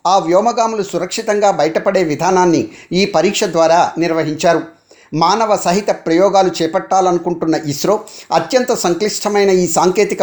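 A man's voice: high voice, a little mellow. A male radio newsreader. He reads a scripted bulletin in a formal news style.

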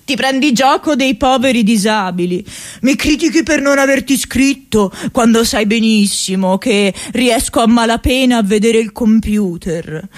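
A woman playing a grumbling, self-pitying middle-aged father in a radio theatre monologue, with a halting, complaining delivery. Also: mid-range voice, natural voice.

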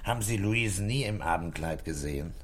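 Ein Schauspieler spielt in einem deutschen Hörspiel einen ruhigen, beharrlichen Kommissar, der knapp und bohrend fragt. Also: male voice, sits low, animated, breathy edge. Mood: probing, calm. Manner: terse, probing, unhurried. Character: calm, dogged Parisian police commissioner questioning witnesses. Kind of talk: German radio play acting.

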